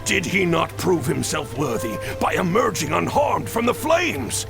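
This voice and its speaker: An actor playing a wise old general and royal counselor in a video game's stage play, grave, eloquent and declamatory. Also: male voice, on the high side, sing-song, measured pace, creaky, exaggerated voice. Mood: indignant, pleading. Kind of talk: theatrical stage-play acting in a video game. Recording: music behind the voice.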